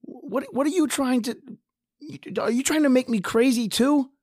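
A woman's voice: sits high, moderate pace, warm tone, slightly rough, no room sound, clean studio sound. A woman playing a frightened, injured man hiding in a tunnel, stammering and panicky, in an old-time radio drama. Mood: tense, hushed.

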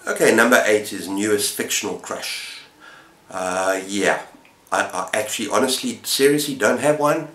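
A man's voice: lowish voice, normal speed, fairly bright tone. A man in his fifties chatting casually and enthusiastically to camera as a book reviewer, rambling a little. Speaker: book reviewer and channel host. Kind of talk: casual chat to camera about books. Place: small indoor room with bookshelves. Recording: roomy echo, faint background noise.

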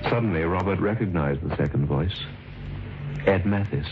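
An actor as a grave, suspenseful narrator of an old radio drama. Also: male voice, low-pitched, normal speed, lightly acted. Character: grave, suspenseful male storyteller. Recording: dull old radio recording, music behind.

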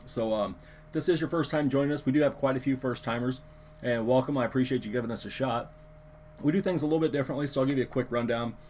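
A man with a hoarse, worn voice hosting a live card-opening stream, chatting loosely with viewers and using many fillers. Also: mid-range voice, quick pace, a little hiss or hum. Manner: relaxed, filler-heavy, talks to chat viewers. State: hoarse, lost his voice. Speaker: card break host.

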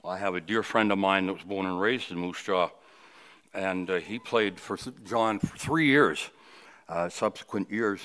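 A man of sixty-nine from Canada delivering a funeral sermon at a lectern, reflective and halting, with personal reminiscence. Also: deep voice, a bit bright. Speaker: funeral officiant, preacher. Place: indoors at a funeral home, at a wooden lectern. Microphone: lectern microphone.

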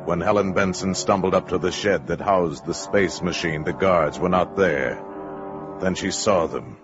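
Loud music swells behind a muffled old radio recording of a grave male storyteller. His very low voice narrates in a portentous, deliberate 1950s dramatic style. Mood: grave, ominous.

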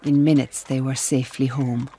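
A woman playing a refined, reflective storyteller, narrating a radio drama in a measured, descriptive manner. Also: very deep voice, level tone, warm tone, slight background noise.

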